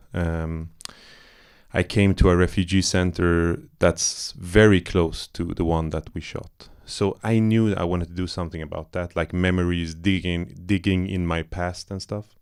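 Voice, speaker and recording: A man's voice: sits low, fairly even intonation, normal speed, darkish tone, smooth. A man from Iran, a film director, talking loosely in a post-screening Q&A, searching for words with many fillers and restarts. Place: cinema auditorium.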